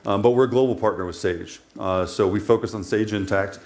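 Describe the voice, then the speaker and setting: A man with an American accent, a company CEO giving a business presentation at a conference, steady and businesslike with frequent fillers. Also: lowish voice, a little fast. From United States.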